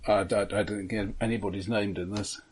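A man's voice: fairly low pitch, fairly even intonation, a little fast, breathy edge. A man co-hosting a casual film discussion, starting hesitantly and then explaining his point. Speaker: film podcast co-host.

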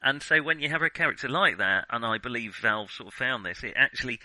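Sharp, bright-voiced man talking films. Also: medium pitch, lively intonation, dry recording, rough recording. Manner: halting, hedging, often restarts sentences mid-thought. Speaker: podcast co-host. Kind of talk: casual film discussion.

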